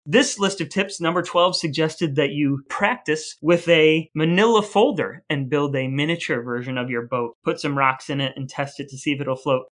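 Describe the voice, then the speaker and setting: A man's voice: a little high, normal speed, hardly any pauses, fairly bright tone. A man co-hosting a podcast, going through researched facts in an explanatory way. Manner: explanatory, laughs at his own points. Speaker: podcast co-host. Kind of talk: casual chat, reading out researched facts.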